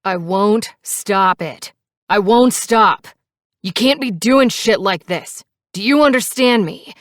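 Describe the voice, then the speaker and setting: An angry, cold and domineering male biker played by a woman narrator in an audiobook. He speaks in a low register and hammers out his words confrontationally, in a very dry recording. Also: fairly even intonation, measured pace, no room sound. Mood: furious. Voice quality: gravelly roar when angered.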